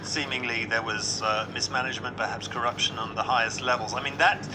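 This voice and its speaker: A male radio news presenter with a mid-range voice asks probing, measured questions. A steady noise runs under his voice. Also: medium pitch.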